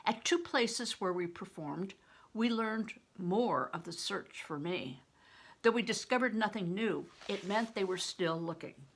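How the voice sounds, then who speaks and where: An older woman reading a children's novel aloud as expressive storytelling narration. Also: mid-range voice, unhurried.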